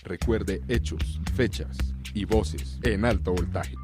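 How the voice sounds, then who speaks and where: Male promo announcer, low register, punchy scripted delivery over prominent loud music bed. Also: slow pace. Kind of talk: scripted radio station promo.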